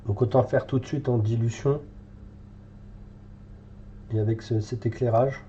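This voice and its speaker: A man's voice: medium pitch, steady tone, a little slow, mellow, dull audio, a little hiss or hum. A French man with a cold, thinking aloud with many fillers as he paints live in a hobby tutorial. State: has a cold, congested.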